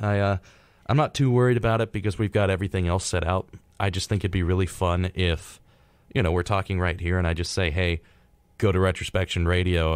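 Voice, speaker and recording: Very deep-voiced young American man. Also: smooth, no room sound. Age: young adult. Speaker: college radio co-host. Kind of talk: casual live radio chat. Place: college radio studio.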